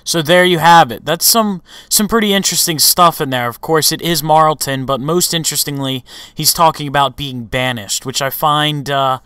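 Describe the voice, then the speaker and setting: A man's voice: a little high, moderate pace, pushed and bright, clean tone, no echo, studio quality. A young man hosting a gaming video with casual, conversational commentary.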